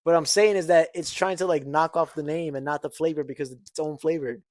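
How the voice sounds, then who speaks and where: A man's voice: a little high, steady tone, fast, darkish tone, no room sound, studio quality. Insistent young man arguing on a podcast. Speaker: podcast co-host. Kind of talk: casual chat.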